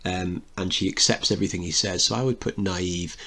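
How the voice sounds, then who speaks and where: Low-pitched, husky man teaching. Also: steady tone, a little fast, bright, forceful tone. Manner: explanatory, checks understanding with questions. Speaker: English literature teacher. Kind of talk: recorded lesson, play analysis with instructions.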